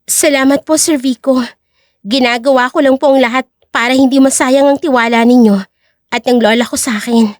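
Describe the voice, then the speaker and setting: A woman's voice: high-pitched, steady tone, fairly quick, dry recording, studio quality, lightly acted. A woman voicing a determined young female taekwondo student, earnest and resolute, in an audio drama. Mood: earnest, respectful. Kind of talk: audio drama character acting.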